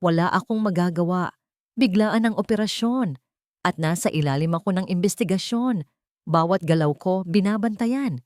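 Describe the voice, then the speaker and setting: A woman's voice: lowish voice, normal speed, a little mellow, no echo, studio quality, lightly acted. Woman as a defensive, proud army captain. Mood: defensive, indignant. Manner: defensive, indignant.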